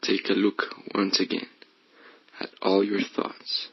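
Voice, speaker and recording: Slow, husky, low-voiced man guiding meditation. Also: monotone, muffled recording. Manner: hushed, breathy, often slipping toward whisper. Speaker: guided meditation host. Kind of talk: guided meditation, soothing instruction.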